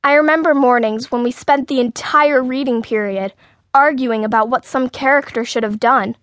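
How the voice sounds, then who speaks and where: High-voiced woman narrating a children's story. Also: moderate pace, a bit bright, no echo. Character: indignant outspoken sixth-grade girl telling her story. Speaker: audiobook narrator. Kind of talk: scripted first-person children's story narration.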